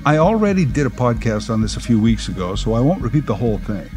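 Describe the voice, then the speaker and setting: Sardonic middle-aged man, lively pitch. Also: fast, over music. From Canada. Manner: dry, sardonic, opinionated. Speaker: podcast host. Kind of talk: personal monologue podcast.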